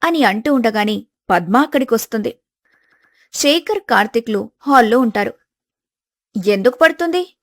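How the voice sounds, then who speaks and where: Woman narrator, scripted storytelling, somewhat high voice, dry recording. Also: lively intonation, moderate pace, dry recording.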